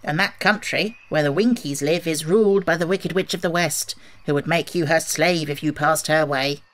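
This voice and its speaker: A man's voice: fairly high pitch, normal speed, fairly bright tone, lightly acted. A man voicing an earnest, helpful little Munchkin man from fairyland in an audiobook, explaining things. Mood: warning. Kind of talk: audiobook character voice.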